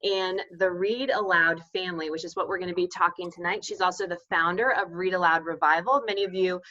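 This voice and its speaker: A woman's voice: on the low side, fairly even intonation, brisk, pushed and bright, muffled recording. A woman hosting an interview, speaking conversationally with gushing enthusiasm. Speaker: interview host. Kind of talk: conversational introduction and questions.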